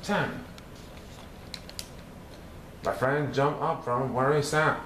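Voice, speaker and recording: A man's voice: medium pitch, fairly even intonation, extremely slow, fairly bright tone. A man reading a children's picture book aloud in verse, with a theatrical, rhythmic, sing-song delivery. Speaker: storybook reader. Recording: roomy echo with some background noise.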